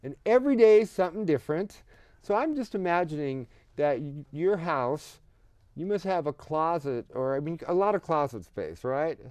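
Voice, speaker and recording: Chatty guy hosting an interview, smooth clear voice, a bit high, keeps things moving. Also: lively intonation, a little mellow, no room sound. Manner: chatty, affirming, quick asides. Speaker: talk show host.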